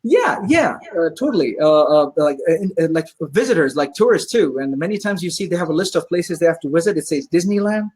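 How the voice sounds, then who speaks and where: Husky guy rattling off fast stories about his pizza place, lots of uhs. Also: fairly high pitch. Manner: animated storyteller, many fillers. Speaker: pizzeria owner and guest. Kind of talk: casual interview chat.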